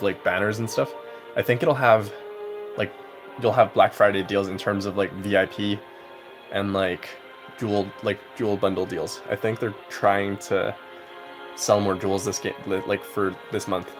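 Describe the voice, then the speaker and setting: Laid-back young man chatting on stream. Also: fairly low pitch. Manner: rambling, relaxed, heavy on "like". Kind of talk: casual live-stream chat while gaming.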